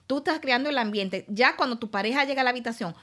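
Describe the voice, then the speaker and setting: A woman's voice: fairly high pitch, quick pace. A woman with a Dominican accent, a guest on a podcast, animatedly explaining and selling products in casual chat. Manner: animated, salesy, repeats words.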